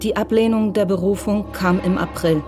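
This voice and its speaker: A woman's voice: medium pitch, fairly even intonation, normal speed. A woman narrating a scripted audio drama in Standard German. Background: music.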